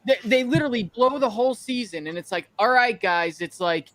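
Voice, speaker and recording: Man, high voice, podcast co-host, blunt, ranting, profane, casual banter. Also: expressive, fairly quick, fairly bright tone, slight background noise, low-quality recording. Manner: blunt, ranting, profane, acts out imagined quotes.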